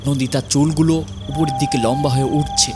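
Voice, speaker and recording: A man's voice: fairly high pitch, level tone, fast talker, over loud music. A man giving dramatic scripted narration of a horror audio story.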